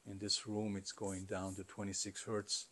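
A middle-aged man giving conversational, explanatory interview answers in a halting way, as a company founder. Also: fairly low pitch, completely flat delivery, a bit bright. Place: carpeted listening room, indoors.

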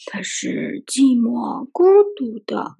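A woman reading a children's picture book aloud in an expressive storytelling style. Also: on the high side, slow pace, gravelly, slightly rough. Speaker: storybook reader and translator. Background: music.

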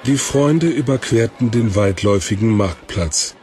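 A man's voice: mid-range voice, expressive, a little slow, warm tone, a faint crowd, a little hiss or hum. A man reading scripted narration for a fantasy audio story. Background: faint music.

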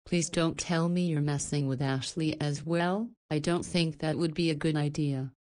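Deep-voiced, teasing, confident woman, acted by a woman. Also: steady tone, normal speed, a little mellow, natural voice. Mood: playful teasing. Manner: playful, knowing, forthright. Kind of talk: visual novel voice acting.

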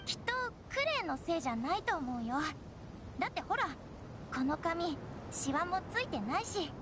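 A woman playing an earnest, shy teenage forest ranger girl in an anime game, with a soft, hesitant delivery. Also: extremely high pitch, very animated, normal speed, noisy background. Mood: reassuring. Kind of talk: Japanese anime game acting.

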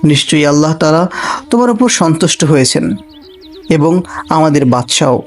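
A man voicing a solemn guard who speaks as a needy traveller in a cartoon tale. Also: fairly high pitch. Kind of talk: scripted cartoon storytelling.

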